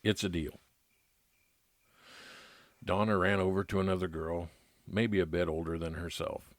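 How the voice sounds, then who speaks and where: A man's voice: low-pitched, steady tone, measured pace, dry recording. A man playing a private detective who narrates his own case matter-of-factly in an old-time radio drama style.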